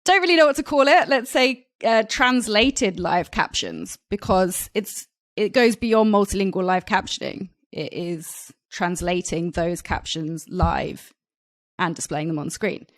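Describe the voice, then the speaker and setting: A woman's voice: medium pitch, measured pace. Woman talking shop in loose, hedging chat. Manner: hedging, conversational, many fillers. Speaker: podcast co-host. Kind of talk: casual industry chat.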